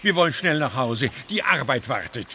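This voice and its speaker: An actor playing an excitable, earnest male storyteller in a children's radio play, urgent and admonishing in manner. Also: medium pitch, normal speed, a little hiss or hum.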